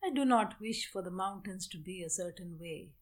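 A woman leading a guided meditation as a teacher, giving measured instructions in short phrases with long pauses. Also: lowish voice, animated.

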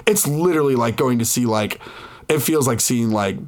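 A man's voice: mid-range voice, expressive, moderate pace. A man hosting a casual podcast while sick with the flu, rambling with lots of fillers and laughs. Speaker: podcast host. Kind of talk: casual chat.

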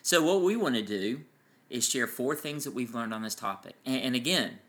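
A man with a loud voice co-hosting a casual parenting podcast, explaining his points with enthusiasm. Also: medium pitch, animated, normal speed. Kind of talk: casual chat. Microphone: farther from mic than co-host.

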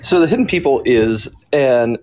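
Animated man explaining on a panel. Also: mid-range voice, moderate pace, slight background noise, poor audio. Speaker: podcast panelist, fiction writer.

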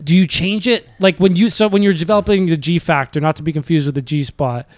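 A man's voice: on the high side, fairly even intonation, fast talker, no echo. A man co-hosting a podcast, teasing and asking questions. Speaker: podcast co-host. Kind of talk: casual podcast chat.

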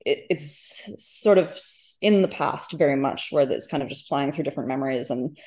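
A woman speaking as a guest author in a casual interview, thoughtful and halting, often correcting herself mid-sentence. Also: very deep voice, a little mellow. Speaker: guest author, short story writer. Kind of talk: casual literary interview chat.

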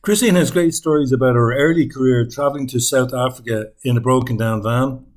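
A man with a mid-pitched voice hosts the show and reads a scripted introduction to his guest. His delivery is upbeat and smooth. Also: fairly quick. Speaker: podcast host.

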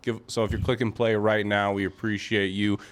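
A man's voice: on the low side, flat delivery, fairly quick. A young man hosting a casual podcast, talking in laid-back slangy banter. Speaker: podcast host. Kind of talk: casual chat.

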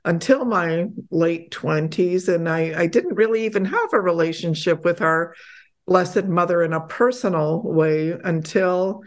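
A middle-aged woman from Poland, a podcast guest chatting casually, laughing often and getting animated when excited. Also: on the low side, a little slow. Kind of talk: casual interview chat.

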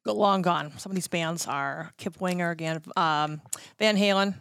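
A middle-aged American woman reminiscing casually in a chatty, halting way that often trails off. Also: on the low side, gravelly, a bit bright, clean tone, no room sound. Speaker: guest recounting concert backstage stories.

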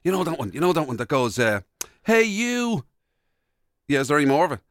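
An Irish man co-hosting a radio show in casual banter, wry and a little halting as he builds his point. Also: a little high, very animated, no room sound, clean studio sound.

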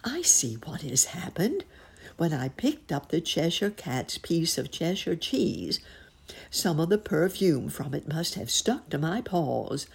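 A woman's voice: sits low, big pitch swings, slow, gravelly, slightly rough, pitched above the performer's own voice, heavily acted. A woman voicing a modest, shy elderly male rabbit in a storybook, courteous and a little bewildered. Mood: worried. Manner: courteous, old-fashioned, hesitant phrasing.

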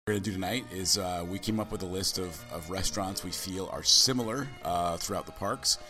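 A male podcast host with a very deep yet bright, punchy voice, scratchy and hoarse from a cold. He chats eagerly, leaning on fillers and restarts. Faint music plays underneath. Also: fairly quick. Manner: chatty, enthusiastic, many fillers. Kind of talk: casual chat.

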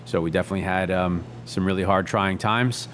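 Man, thirties, chef interview guest, lowish voice, easygoing, halting with fillers, faint background hiss. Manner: relaxed, easygoing, many fillers and restarts. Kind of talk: casual reflective interview.

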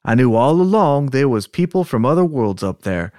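A shaken, folksy Southern man acted out by a man in an amateur dramatic reading. He is insistent about what he saw, in a medium-pitched voice with a dry sound. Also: sing-song, normal speed, a little mellow, no echo, lightly acted. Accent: put-on Southern US.

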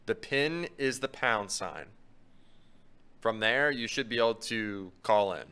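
A man's voice: fairly low pitch, takes it slowly, sharp tone, smooth. A man co-hosting a sports show, talking casually.

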